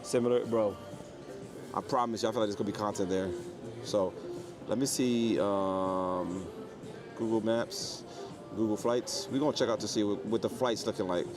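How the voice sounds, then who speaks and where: A man with a low voice chatting casually and rambling to his livestream viewers as he walks through an airport terminal. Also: fairly even intonation, normal speed, music in the background. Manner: rambling, joking, talks quietly. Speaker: IRL livestreamer. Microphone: phone or camera carried while walking. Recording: noisy phone livestream audio.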